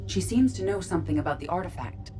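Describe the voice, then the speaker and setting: Actress as a calm female spaceship ai from a video game, formal and exact, music playing behind. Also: lowish voice, lightly acted. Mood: measured, matter-of-fact. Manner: measured, formal, analytical. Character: calm, precise female ship artificial intelligence.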